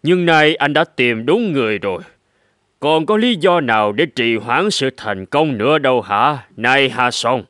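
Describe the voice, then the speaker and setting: Lofty, cunning old master. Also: male voice, medium pitch, expressive, fairly bright tone, no room sound. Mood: sly persuasion. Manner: measured, lofty, persuasive. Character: cunning, haughty old gold-making master. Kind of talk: animated folk-tale dubbing. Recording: music bed under the voice.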